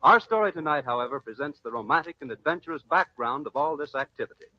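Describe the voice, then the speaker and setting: A man's voice: on the high side, expressive, fast, no echo. An American man announcing in a formal, polished 1940s radio style, reading scripted sponsor narration. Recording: dull vintage radio broadcast with music.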